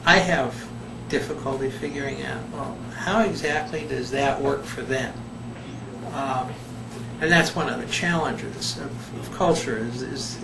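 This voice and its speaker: An older man, a retired psychologist, answering interview questions slowly and thoughtfully, pausing often. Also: fairly high pitch. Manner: slow, thoughtful, with many pauses and fillers. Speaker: retired behavior psychologist, volunteer. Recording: echoey room, mic at a distance, background noise.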